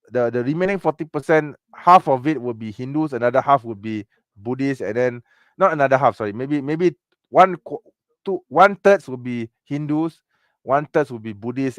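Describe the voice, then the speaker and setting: Man, Malaysian English accent, livestream host, mocking tone, frequent chuckles, mid pitch, dry close sound. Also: a bit bright, dry recording. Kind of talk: casual livestream commentary reading comments.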